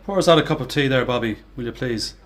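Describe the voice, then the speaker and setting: An actor playing a self-assured, dry-witted factory worker with a Dublin accent in a radio play. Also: male voice, medium pitch, fast, close to an everyday voice. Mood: breezy. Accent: Irish, Dublin. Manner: wry, teasing, confident.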